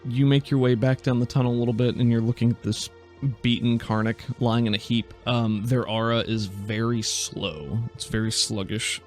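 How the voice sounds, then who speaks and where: A man's voice: medium pitch, fairly even intonation, normal speed, soft background music. A man narrating a tabletop game as game master and podcast host, in an informal, descriptive storytelling way.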